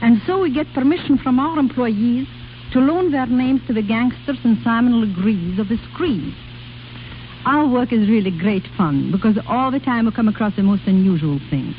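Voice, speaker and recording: A woman's voice: fairly high pitch, lively intonation, moderate pace. A woman giving a scripted guest talk on an old radio broadcast. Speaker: guest speaker. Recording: tinny 1930s radio recording, hum and hiss.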